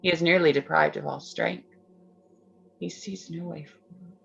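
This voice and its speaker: A woman playing a composed, defiant young authoress telling a tale in a staged reading, measured and solemn. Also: on the low side, fairly even intonation, gravelly, a bit bright, highs cut off, soft background music. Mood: solemn, ominous. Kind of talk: dramatic storytelling in staged reading.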